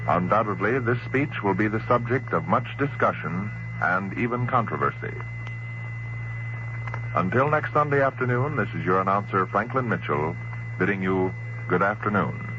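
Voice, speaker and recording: An American man announcing formally on 1930s radio, with a measured delivery. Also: fairly low pitch, lively intonation, muffled recording. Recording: noisy, humming 1930s radio recording.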